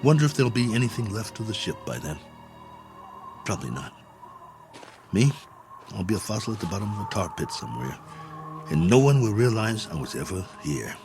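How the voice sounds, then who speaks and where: A low-voiced, stranded astronaut colonel in vintage television science fiction. He is lonely and dogged, with a wry, musing manner and lively intonation. Music runs underneath. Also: fairly quick, long pauses. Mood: weary musing. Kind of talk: 1960s television drama acting, monologue log entries.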